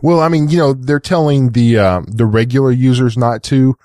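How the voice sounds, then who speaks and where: A slightly hoarse man co-hosting a chat show, telling things in a casual, rambling, conversational way. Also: mid-range voice, moderate pace, darkish tone, no echo, studio quality. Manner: rambling, frequent "like" and "you know". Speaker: co-host of a tech chat show.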